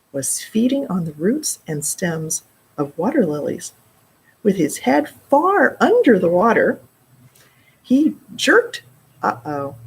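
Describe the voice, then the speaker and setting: A middle-aged woman reading aloud to children in an expressive way, pausing for casual explanatory asides. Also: fairly low pitch, breathy edge, rough recording. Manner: expressive, explaining words and asides to young listeners. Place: indoor library children's room.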